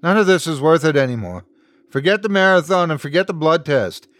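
A man's voice: a little high, sing-song, normal speed, dry recording, voice pushed up. A man voicing a weak, frightened young man who pleads in an exhausted, wavering voice in an audiobook story. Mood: desperate pleading. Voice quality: faint, wavering, sob-like.

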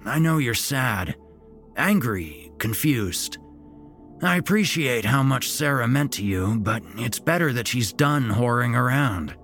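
A man's voice: medium pitch, expressive, a little slow, fairly bright tone, faint music behind, voice pushed up. A man voicing a sinister, falsely cheerful old grandfather with a folksy, chipper manner that turns menacing.

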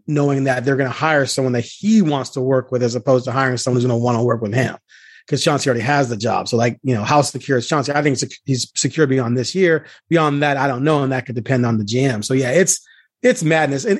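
A man's voice: mid-range voice, no echo, studio quality. A man hosting a sports podcast, talking in a casual, conversational way. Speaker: podcast host and sports reporter.

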